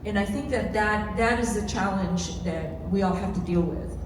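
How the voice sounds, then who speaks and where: A woman speaking off the cuff as a panelist and community organizer, with halting, emphatic phrasing that repeats words. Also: mid-range voice. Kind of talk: panel discussion answers, unscripted. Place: large echoey room at a live panel event. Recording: echoey hall PA, distant, faint noise.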